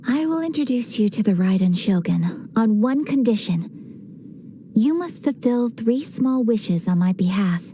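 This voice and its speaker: A woman playing a poised young noble lady in a video game, speaking formally and with measured politeness. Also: a little high, animated, mellow, slight background noise. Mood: earnest.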